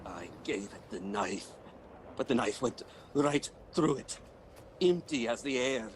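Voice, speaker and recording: Haunted, slow-spoken ship's mate, acted by a man. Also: mid-range voice, expressive, lightly acted. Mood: haunted, obsessive. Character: haunted, superstitious male ship's mate sliding into madness. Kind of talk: radio play acting. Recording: faint background noise.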